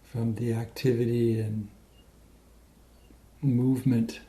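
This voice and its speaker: A man leading a guided meditation in a gentle, unhurried way with many pauses. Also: mid-range voice, flat delivery. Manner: slow, pausing, gentle delivery.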